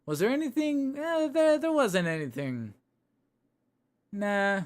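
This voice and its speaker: A man's voice: very high voice, very animated, slow. Chatty man streaming from home. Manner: rambling, self-correcting, chatty. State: voice getting tired. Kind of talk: casual livestream chat. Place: home room. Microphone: desk microphone.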